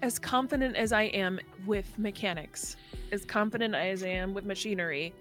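A woman's voice: mid-range voice, a bit bright, soft background music, natural voice. Woman acting as a defensive young mechanic. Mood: sheepish explaining. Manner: halting, insistent, self-justifying. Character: defensive, defiant young mechanic caught up in crime.

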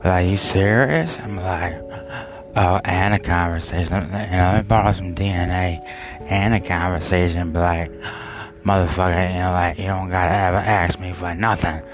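A man's voice: low-pitched, fairly even intonation, normal speed. A man telling a rambling personal story, full of fillers, restarts and bursts of laughter at himself. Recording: music playing behind.

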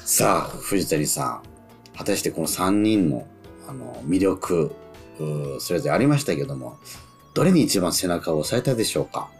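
A man's voice: sits low, sing-song, moderate pace, vocal fry. A man hosting a casual radio talk segment, moderating with frequent interjections and amused reactions. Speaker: radio show host. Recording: music playing behind the talk. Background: background music.

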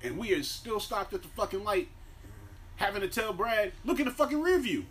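A man's voice: expressive, a little fast, a bit bright, husky. An American man co-hosting a podcast, telling stories in a casual, slangy way with plenty of swearing. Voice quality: deep-voiced by own claim. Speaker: podcast co-host. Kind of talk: casual profane banter and animated storytelling. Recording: faint background noise.

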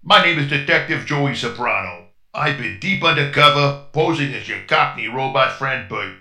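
A man's voice: mid-range voice, animated, normal speed, roomy sound, music in the background, close to an everyday voice. A man voicing a robot posing as a streetwise undercover detective with a blunt, commanding manner. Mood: tough.

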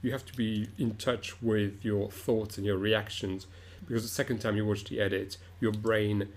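Thoughtful low-voiced man, halting speech. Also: flat delivery. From Morocco. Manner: thoughtful, halting, many restarts and fillers. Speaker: opera director, guest. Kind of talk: reflective interview answers.

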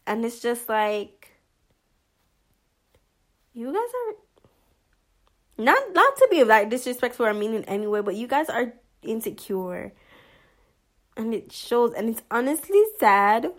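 A woman vlogger giving casual commentary in a monotonous voice, hesitant, with many fillers and restarts. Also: on the high side, measured pace, pauses often.

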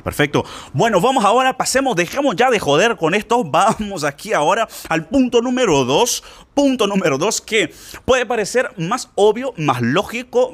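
Animated male teacher. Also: on the high side. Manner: informal, insistent, slangy, addresses listener directly. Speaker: Spanish teacher for learners. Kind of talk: casual spoken lesson.

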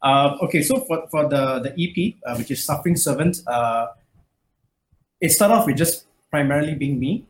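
A man's voice: fairly high pitch, normal speed. A man from Malaysia, a metal musician, chatting casually in an interview, rambling with lots of fillers and restarts. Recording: echoey room, faint noise.

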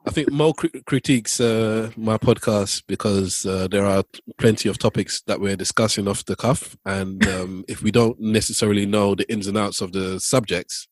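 A man's voice: lowish voice, steady tone, moderate pace, no echo. A man co-hosting a podcast, chatting casually and often repeating words as he restarts his sentences. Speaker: podcast co-host. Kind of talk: casual chat.

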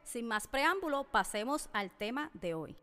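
A woman's voice: on the high side, big pitch swings, a little slow, pushed and bright, faint music behind. A woman in her thirties with a Puerto Rican accent, hosting a personal podcast monologue and addressing listeners directly and emphatically. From Puerto Rico. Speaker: podcast host. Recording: long reverberant hall echo.

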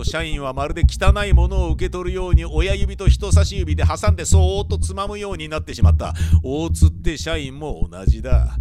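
Flustered male middle manager played by a man, comic radio drama. High, very animated, theatrical delivery. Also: natural voice. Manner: theatrical, dramatic, easily aghast. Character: flustered male middle manager telling his hard-luck story. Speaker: voice actor.

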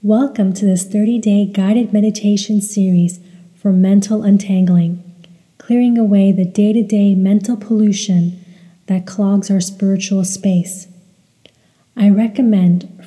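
Very mellow woman guiding meditation, long reverb. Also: mid-range voice. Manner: measured, deliberate, instructional. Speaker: meditation guide. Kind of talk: slow guided meditation with recitation.

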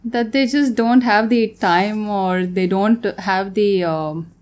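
A woman's voice: mid-range voice, fairly even intonation, slow, darkish tone. A young woman who grew up in Tanzania, a guest artist talking casually in an interview, often laughing and trailing off mid-sentence.

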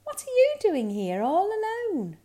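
A woman's voice: extremely high pitch, huge pitch swings, measured pace, voice pushed up, heavily acted. A woman voicing a kind, friendly reindeer in a children's storybook. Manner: friendly, gentle. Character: kind, friendly reindeer helping a lost cub.

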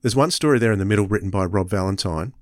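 A man's voice: lowish voice, very fast, dry recording, studio quality. A man co-hosting a podcast, chatting casually and appreciatively about what he has heard, often repeating phrases as he feels his way along. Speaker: podcast co-host. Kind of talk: casual review chat.

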